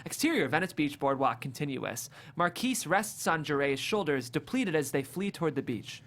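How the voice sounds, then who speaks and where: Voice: medium pitch, big pitch swings, moderate pace, a bit bright. Screenplay narrator, vivid, amused, very varied intonation, comedy table read. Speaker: stage directions narrator.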